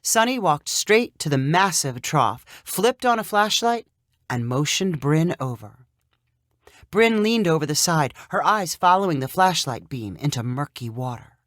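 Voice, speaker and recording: A woman narrating a scripted short story in an expressive, clearly paced storyteller's manner. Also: sits low, a little slow, dry recording, studio quality.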